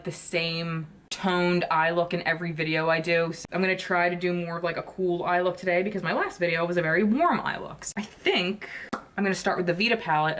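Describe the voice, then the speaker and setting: A woman's voice: lowish voice, fairly bright tone. Chatty, swearing woman beauty vlogger. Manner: chatty, swears often, exclaims about products. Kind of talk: casual chat while doing makeup.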